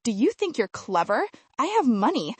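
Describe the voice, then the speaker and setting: A woman's voice: fairly high pitch, big pitch swings, moderate pace, husky, dry recording. A woman voicing an entitled, angry female customer who is indignant and accusing, in a dramatized story.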